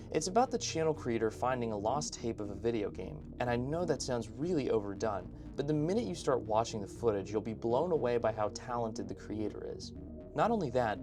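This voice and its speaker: A man narrates an online video essay in a medium-pitched voice with clear background music underneath. He speaks as an opinionated host, keeping the scripted commentary informal and conversational with frequent asides.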